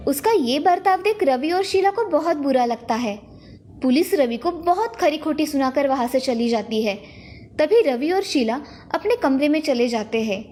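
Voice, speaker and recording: A woman's voice: high-pitched, animated, moderate pace, a little mellow. A woman narrating a scripted children's moral story.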